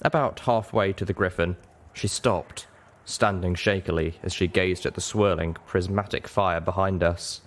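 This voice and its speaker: A man reading a story aloud as narrator in an expressive audiobook style. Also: low-pitched, normal speed.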